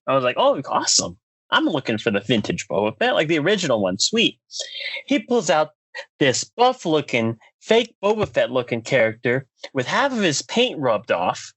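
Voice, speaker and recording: An American man hosting a podcast, delivering a comedic rant in a theatrical, mock-announcer way with drawn-out emphasis. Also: mid-range voice, fairly bright tone, husky, no room sound. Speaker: podcast host.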